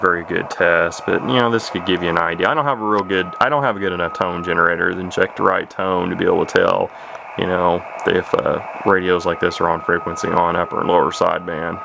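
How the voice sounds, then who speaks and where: A man's voice: low-pitched, steady tone, fast talker, creaky. A man giving a casual, unscripted hobbyist demonstration, rambling along in a folksy, plain-spoken way. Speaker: hobbyist radio collector. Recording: loud radio static and hiss. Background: CB radio static and squalling.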